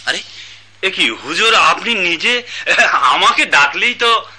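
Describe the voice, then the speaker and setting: A man's voice: high voice, expressive, takes it slowly, sharp tone, husky, full character voice. A man with a Bangladeshi accent playing a deferential court musician in a radio drama. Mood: humble. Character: deferential male courtier-musician addressing his lord. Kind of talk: Bengali radio drama acting.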